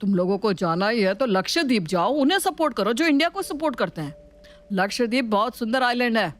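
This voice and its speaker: A woman's voice: on the high side, big pitch swings, a little fast. Wry young family man, voiced by a woman. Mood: assertive.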